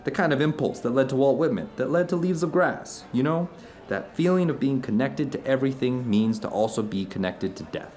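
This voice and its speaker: A man's voice: mid-range voice, natural voice. An actor playing an introspective man who tells uncanny personal anecdotes to an old friend in an audio drama, rambling, earnest and emphatic. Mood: earnest wonder. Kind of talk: audio drama dialogue, conversational monologue.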